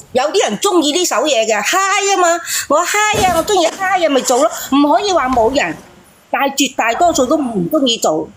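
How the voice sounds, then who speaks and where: A woman's voice: high voice, noisy background. A woman with a Cantonese accent hosting a call-in show, bluntly arguing and mocking, repeating phrases for emphasis. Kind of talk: casual, argumentative phone-in talk.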